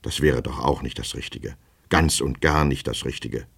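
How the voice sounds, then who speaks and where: A man voicing an anxious, flustered gentleman from a storybook, agitated and repeating himself as he despairs. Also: extremely low pitch, moderate pace, creaky, husky, exaggerated voice. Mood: agitated, indignant. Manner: repetitive, stammering, agitated, talking to himself. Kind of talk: dramatic audiobook character reading.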